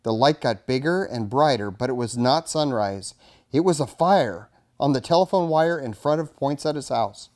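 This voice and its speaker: A man's voice: mid-range voice, expressive, moderate pace, darkish tone. A middle-aged man with an American accent, a fire chief reading a children's storybook aloud and talking to kids. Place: indoors in front of a curtain backdrop, studio-like setup.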